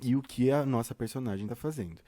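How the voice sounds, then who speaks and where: A man's voice: medium pitch, fast, dark tone. A Brazilian man giving an informal tutorial, talking straight to the viewer in a theatrical, conversational way. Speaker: theatre teacher and podcast host.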